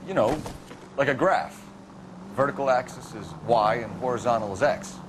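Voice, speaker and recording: An actor playing a brisk, authoritative 1940s police detective with an American accent in a video game. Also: male voice, medium pitch, background noise, natural voice. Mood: conversational. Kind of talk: video game cutscene acting, interrogation and partner banter.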